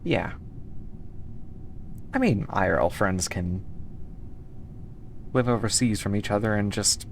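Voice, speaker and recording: A woman's voice: extremely low pitch, takes it slowly, long pauses, slight background noise. A woman from the United States streaming a game and chatting casually with her viewers, easygoing and rambling as she answers chat between moves.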